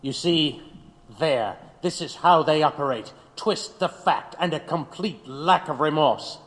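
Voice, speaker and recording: Stern male interrogator played by a man, accusing and righteous, slightly high voice, reverberant audio-drama hall. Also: unhurried, a bit bright. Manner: stern, insistent.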